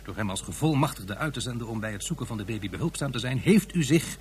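An actor playing a cautious, talkative male professor and government adviser in a radio play, measured and tactful. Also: medium pitch, expressive, a little hiss or hum, natural voice.

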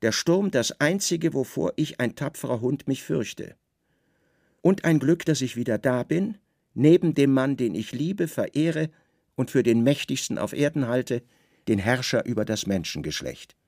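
A man's voice: medium pitch, expressive, unhurried, vocal fry, darkish tone, no echo, studio quality. A man voicing a huge, devoted guard dog's adoring thoughts in a solemn, reverent manner for a radio play. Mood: adoring, proud. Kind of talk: literary radio play monologue.

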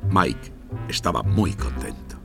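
A man's voice: a bass voice, lively intonation, takes it slowly, vocal fry, over music. A man narrating a scripted children's story with dramatic storytelling delivery.

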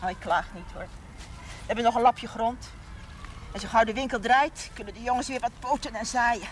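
An actress playing a proud, chatty older village wife who takes offense easily but soon calms down. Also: female voice, fairly high pitch, moderate pace, lightly acted. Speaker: shopkeeper's wife. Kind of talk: 1970s TV drama acting. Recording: noisy old TV broadcast audio.

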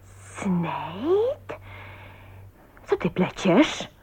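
A woman's voice: lowish voice, wildly swooping pitch, extremely slow, a little mellow, breathy edge, exaggerated voice. An actress playing a haughty, disapproving female relative in a radio drama, speaking in a pointed way. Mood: prim, incredulous. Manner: pointed, incredulous. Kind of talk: Polish radio drama acting.

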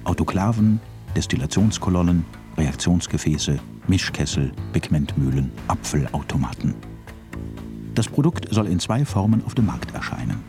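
A man's voice: deep voice, big pitch swings, moderate pace, over music. A man narrating a radio drama in a matter-of-fact, descriptive way.

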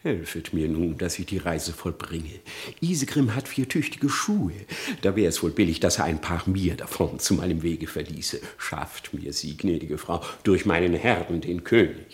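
A man's voice: low voice, normal speed, natural voice. An actor playing a sly, hypocritical male fox who wheedles and mocks. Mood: wheedling. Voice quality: wheedling, mocking. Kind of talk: classic radio play acting.

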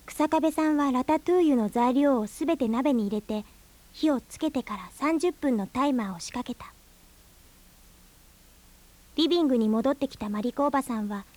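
An earnest young girl with a high voice, played by a woman, in a radio drama. She speaks politely and carries an anxious edge, as a niece telling her own story. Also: darkish tone, dry recording, close to an everyday voice. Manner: polite, questioning speech to elders in dialogue. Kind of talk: Japanese radio drama acting with first-person narration. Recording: 1990s FM radio drama on cassette.

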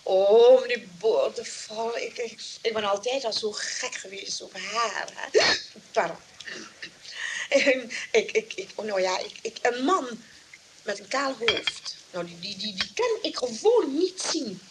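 An actress playing a flustered, flirtatious shopkeeper in a radio play, gushing, stammering and repeating herself. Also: female voice, fairly high pitch, lively intonation, unhurried, a little hiss or hum, rough recording. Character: giggly, flirtatious shopkeeper woman, flustered and chattering.

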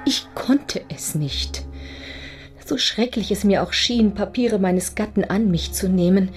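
Woman playing a desperate, breathy aristocratic lady. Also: mid-range voice, unhurried, a little mellow, soft background music, lightly acted. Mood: anguished confession. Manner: formal, breathy, anguished pleading. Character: desperate aristocratic lady, wife pleading for her secret. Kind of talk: German radio drama acting.

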